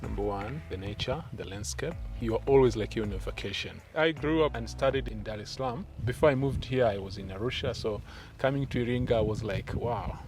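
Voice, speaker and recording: A man with a Tanzanian accent answering interview questions in a measured way, feeling for his words. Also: mid-range voice. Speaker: entrepreneur being interviewed. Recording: music behind the voice.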